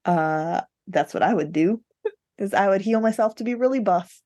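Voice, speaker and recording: A woman's voice: mid-range voice, moderate pace, dark tone, no echo, studio quality. A woman co-hosting a podcast, chatting casually about books in a playful, emphatic way. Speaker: podcast co-host discussing books. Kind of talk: casual book chat.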